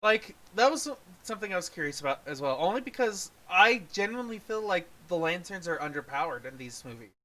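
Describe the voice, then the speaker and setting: A podcast co-host in a casual review chat, haltingly rephrasing thoughts while talking. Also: medium pitch, expressive, pushed and bright, poor audio.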